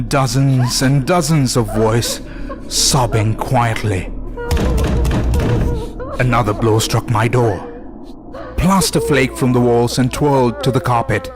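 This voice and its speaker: A man narrating a scripted horror story in a deliberate, suspenseful way with dramatic pauses. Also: mid-range voice. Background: music under the voice.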